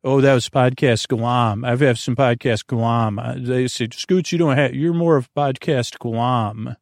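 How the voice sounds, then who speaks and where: A man hosting a bedtime podcast in a gravelly voice, rambling and halting, often trailing off mid-thought. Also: mid-range voice, darkish tone, no echo, clean studio sound. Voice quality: creaky, gravelly. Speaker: podcast host.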